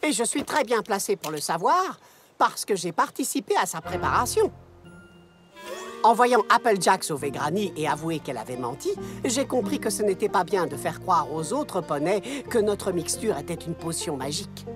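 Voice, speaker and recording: A woman voicing a smooth-talking pony salesperson with a conscience, expressive and confiding, in a French-dubbed children's cartoon. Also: fairly low pitch, moderate pace, over music. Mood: contrite.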